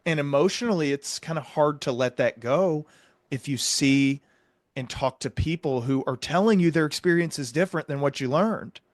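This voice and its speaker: A man speaking as a medical provider and interview host, explaining his views in an earnest, measured, conversational way. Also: fairly high pitch, dry recording, clean studio sound. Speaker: psychiatric provider and podcast host.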